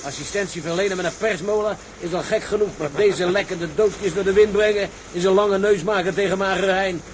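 A man's voice: high-pitched, steady tone, brisk, slight background noise, lightly acted, rough recording. A man playing a sceptical, wry ship's mate in a radio drama. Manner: wry, colourful seafaring talk.

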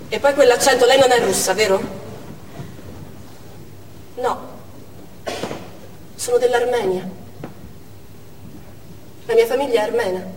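High-voiced shaken woman doctor, acted on stage. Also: a little mellow, recorded from a distance, lightly acted. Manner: deliberate narration in short, tense phrases. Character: woman emergency doctor, shaken witness to a siege. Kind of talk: theatrical stage monologue. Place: theatre stage, picked up from a distance. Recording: echoey theatre hall from afar, faint noise.

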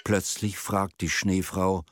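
A man narrating a radio play as a storyteller, in a deliberate, expressive manner. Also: low-pitched, background noise.

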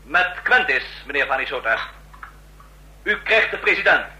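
Dutiful aide, very sharp voice. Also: male voice, fairly high pitch, expressive, normal speed, low-quality recording. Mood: businesslike. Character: dutiful male military aide relaying calls. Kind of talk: Dutch radio drama acting.

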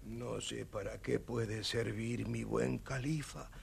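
An actor playing a humble, kindly old man with a gravelly voice in a children's story record. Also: medium pitch, steady tone, slow pace. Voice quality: creaky, gravelly.

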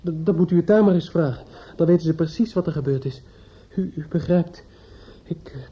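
An actor playing a grief-stricken, distraught young man in a Dutch radio drama, speaking haltingly with stammers and repetitions. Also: a little high, breathy edge. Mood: halting, agitated. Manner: halting, stammering, repeating himself. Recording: dull, slightly noisy old radio recording.

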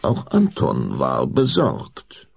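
A man narrating a spooky children's radio play in a deliberate, expressive storytelling manner. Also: very deep voice, gravelly, slight background noise, heavily acted. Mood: measured, suspenseful.

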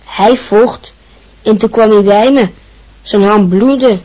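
A woman's voice: medium pitch, takes it slowly, dark tone, low-quality recording. A woman playing a shy teenage schoolboy who talks in a hesitant, defensive way, in a radio play.

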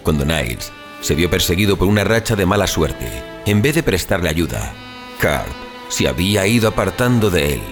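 A man's voice: low voice, big pitch swings, moderate pace, music in the background. A man narrating a scripted story in a dramatic audio fiction style.

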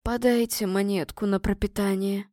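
A woman putting on a scruffy beggar man, hoarse, bleating, cheeky, uncouth, haggling, dry storybook recording. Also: medium pitch, steady tone, normal speed, warm tone, no room sound, close to an everyday voice. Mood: begging.

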